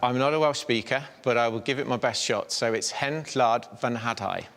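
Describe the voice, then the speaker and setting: A man's voice: mid-range voice, normal speed, a bit bright, in a large hall. A man acting as a museum guide, explaining history informatively with some hesitant fillers, indoors in a museum. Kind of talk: explaining to a presenter.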